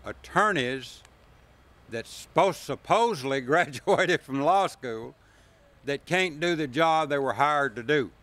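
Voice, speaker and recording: A man's voice: on the high side, expressive, slow, a bit bright, no room sound. A blunt, plain-spoken man giving informal interview remarks. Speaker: taxpayer advocate interviewee.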